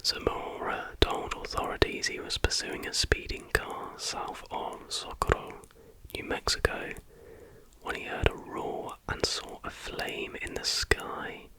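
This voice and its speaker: Whispering man, ASMR narration. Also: rough recording. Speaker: ASMR video host.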